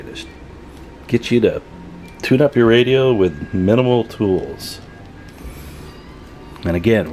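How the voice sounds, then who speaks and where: A man giving a casual hands-on repair tutorial, talking informally and haltingly as he thinks aloud while working. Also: low voice, darkish tone, a little hiss or hum. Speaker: video host demonstrating CB radio tune-up.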